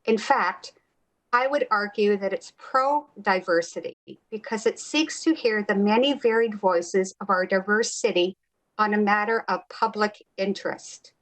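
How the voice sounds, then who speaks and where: A woman's voice: mid-range voice, fairly bright tone, low-quality recording. Woman, mid-range voice, city councillor, formal prepared statement.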